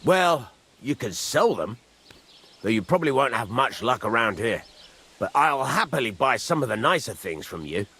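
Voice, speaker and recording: An actor playing a gruff middle-aged village blacksmith in a video game, husky, blunt and rustic, giving plain instruction. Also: male voice, mid-range voice, very animated, moderate pace, sharp tone, heavily acted. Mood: matter-of-fact. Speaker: master blacksmith. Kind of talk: video game character acting.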